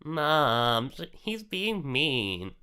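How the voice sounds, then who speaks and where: A man voicing an excitable, whiny young child while reading a visual novel aloud. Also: mid-range voice, very slow, clear, resonant tone, voice pushed up, full character voice. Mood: excited, whiny.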